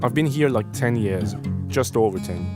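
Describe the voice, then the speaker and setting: A man's voice: medium pitch, expressive, normal speed. A young man from Iran, hosting a live stream and giving casual, friendly feedback on music, often thinking aloud and restarting his sentences. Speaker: host. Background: faint music playing.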